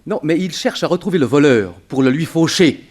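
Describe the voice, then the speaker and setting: An actor playing a cagey, indignant former burglar with a grudge, slangy and protesting, in 1960s French radio drama style. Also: male voice, on the high side, animated, brisk, a little mellow, slightly rough. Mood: sly, grumbling.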